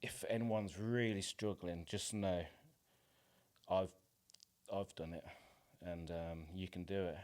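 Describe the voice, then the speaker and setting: Low-voiced man, candid chat. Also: steady tone, takes it slowly, a little mellow. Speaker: podcast guest, actor. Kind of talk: casual personal interview chat.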